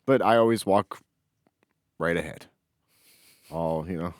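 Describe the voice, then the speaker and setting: Male podcast co-host, deep register, dry sound, loose joking chat. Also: measured pace, no room sound. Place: small office.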